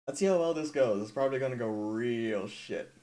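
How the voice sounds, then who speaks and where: A man's voice: mid-range voice, animated, brisk, mellow. A man streaming in a casual, rambling way, thinking aloud and putting himself down. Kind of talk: casual livestream chat.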